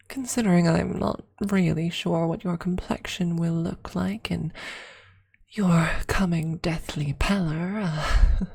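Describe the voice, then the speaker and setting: Casting: devoted, teasing female vampire , acted by a woman, ASMR roleplay. Very slow, creaky, soft speech slipping into whispers. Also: lowish voice, heavily acted. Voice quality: soft, breathy, husky with creak. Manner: slow, tender, lightly teasing.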